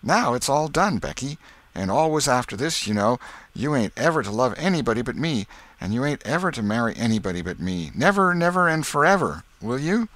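A man's voice: mid-range voice, sing-song, a little fast, fairly bright tone, dry recording, voice pushed up. A man voicing an eager, boastful young schoolboy in a storybook, with a folksy, insistent way of talking.

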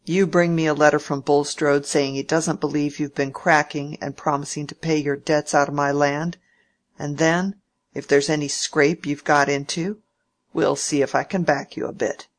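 A domineering old landowner with plain country speech, read aloud by an audiobook narrator. He grumbles and bargains in a louder, harder voice than the narration around him. His tone is crafty and scolding. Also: medium pitch, flat delivery, moderate pace, natural voice. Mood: gruff bargaining. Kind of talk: audiobook character reading.

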